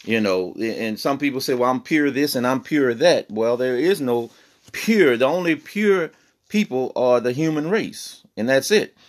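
A man's voice: mid-range voice, animated. A man teaching a Bible lesson on a podcast, earnest, with many fillers and restarts. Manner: reads scripture in slow old-fashioned cadence.

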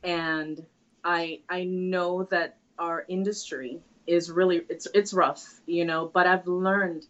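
A woman's voice: fairly low pitch, fairly even intonation, slow pace, a bit bright. A woman, answering interview questions in an earnest, reflective way with frequent hesitations. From Trinidad and Tobago. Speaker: guest. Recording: dull, lo-fi sound.

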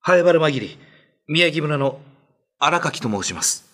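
A man playing a humble, worried older brother seeking help in a radio drama, earnest and very deferential in his husky speech. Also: on the high side, expressive, takes it slowly, fairly bright tone, exaggerated voice. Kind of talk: Japanese radio drama acting.

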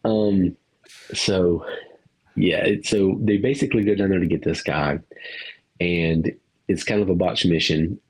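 Low husky man rambling about a movie. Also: fairly even intonation, measured pace, long pauses, low-quality recording. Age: adult parent. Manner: rambling, laughs often, swears casually. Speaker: podcast co-host. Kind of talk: casual movie review chat.

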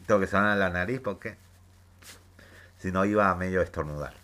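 A man with a very low voice hosts a casual gaming news podcast while fighting a head cold. His nose is stuffy, and he reads each story with chatty asides and frequent hesitations. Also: a little fast, sharp tone, slight background noise. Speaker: podcast host.

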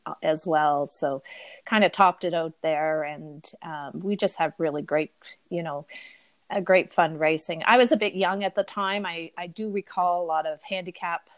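Low-voiced woman. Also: normal speed, dry recording. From Cape Breton, Canada. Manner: rambling, many fillers and restarts. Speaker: podcast guest. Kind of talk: casual interview talk.

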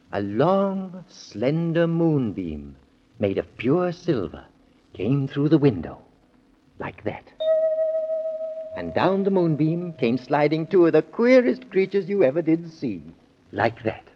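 Playful, husky-voiced storytelling father. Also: on the high side, big pitch swings, measured pace. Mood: hushed storytelling. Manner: indulgent, dramatic storytelling with repetitions. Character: gentle, playful father telling bedtime stories. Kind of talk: 1930s radio children's drama acting. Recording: dull old 1930s radio recording.

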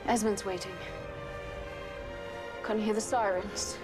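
A woman's voice: medium pitch, fairly even intonation, unhurried, natural voice. An actress playing a cool, mocking woman in a wartime affair, speaking in a clipped, cutting way over film music. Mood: tense, mocking. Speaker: actress in a period drama clip. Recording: loud dramatic music behind.

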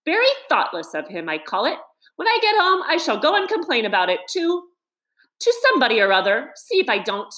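Storybook mole, played by a woman: small, male, indignant and literal-minded, with very lively, swooping delivery. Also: high voice, a little fast, voice pushed up. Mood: dismissive, grumbling. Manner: plaintive, pettish, indignant.

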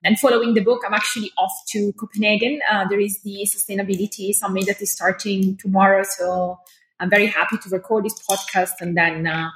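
A woman with an Italian accent speaking as a guest in a podcast interview, chatty and enthusiastic, with frequent filler pauses. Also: mid-range voice, level tone, fairly bright tone. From Italy. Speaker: guest, fashion business lecturer. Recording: roomy with faint noise.

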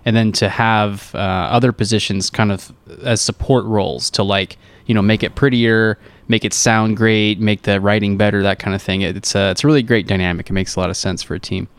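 A man's voice: fairly low pitch, steady tone, a little fast, hardly any pauses, clear, resonant tone, no echo. A man co-hosting a podcast, chatting in an agreeable, affirming way. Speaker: podcast co-host. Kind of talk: casual interview talk.

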